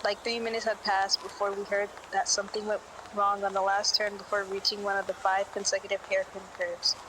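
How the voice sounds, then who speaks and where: A woman's voice: mid-range voice, monotone, a little fast, noisy background, lightly acted. A woman playing a brusque, impatient witness in an amateur audio drama, talking in a curt, sardonic and slangy way. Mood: impatient, uneasy. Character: brusque, impatient woman witness recalling a street-race disappearance.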